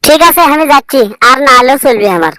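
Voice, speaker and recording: A quarrelsome young village wife from a comedy cartoon , acted by a woman, with a northern Bangladeshi accent. She rattles off complaints insistently in a fairly high voice, stubborn and scolding, on a dry, close recording. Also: sing-song, fast talker, bright, forceful tone, no echo, exaggerated voice. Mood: defiant, angry. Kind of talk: comic cartoon dialogue.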